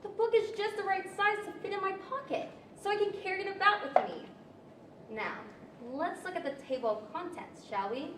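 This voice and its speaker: A woman's voice: very high voice, fairly quick. A young woman playing a polite, curious young girl in a prim storybook manner, in radio theater style. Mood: pleased, curious. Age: young adult. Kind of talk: 1940s radio theater acting. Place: large library event room, live performance. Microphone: stand microphone. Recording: echoey hall, distant, clear background noise.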